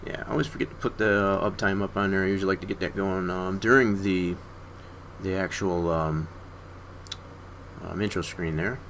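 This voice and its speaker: A deep, gravelly-voiced man narrates his modeling work in a slow, thinking-aloud way, with plenty of ums and uhs. His voice is hoarse and he keeps clearing his throat. A faint hiss sits under the recording. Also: fairly even intonation, a bit bright. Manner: unhurried, filler-heavy thinking aloud. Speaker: streamer. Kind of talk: casual screen-share tutorial.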